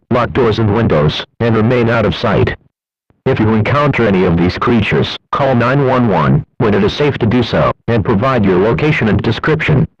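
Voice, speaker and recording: A synthetic male announcer reading scripted emergency alerts and weather reports in a formal, impersonal, measured way. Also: medium pitch, husky, no room sound. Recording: dull lo-fi radio-style broadcast audio.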